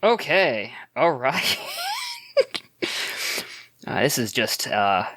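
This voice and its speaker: Bright-voiced man, slow and laughing. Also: mid-range voice, sing-song, no room sound. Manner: laughs often, hesitant with fillers. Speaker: player guest. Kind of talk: actual-play tabletop game talk.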